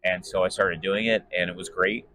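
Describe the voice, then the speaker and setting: A man's voice: low voice, steady tone, a little fast, sharp tone, slight background noise, low-quality recording. A man giving casual interview answers in a hesitant, rambling, conversational way. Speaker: sports PA announcer and voice actor being interviewed.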